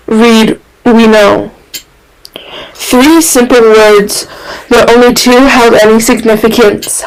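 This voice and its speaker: A woman's voice: on the high side, poor audio. A woman reading scripted fan fiction narration as a podfic narrator.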